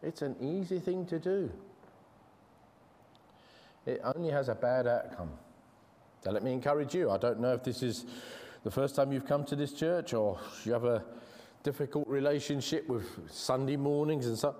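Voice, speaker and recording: A man with an English accent preaching a sermon in a church, earnest and conversational, slowing down to stress key words. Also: medium pitch, mellow. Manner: earnest, conversational, with frequent restarts and slow emphasis. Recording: long hall reverb.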